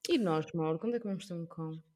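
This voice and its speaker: Animated woman with a European Portuguese accent. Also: on the low side, racing. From Portugal, with African roots. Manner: animated, slangy, mixes in English words. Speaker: podcast co-host. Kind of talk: casual chat among friends.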